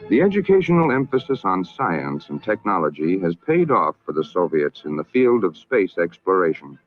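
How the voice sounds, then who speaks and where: An American man narrating a scripted 1960s public information film in a formal, measured, emphatic manner. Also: low voice, big pitch swings. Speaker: documentary narrator. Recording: narrow-band vintage film soundtrack, music behind.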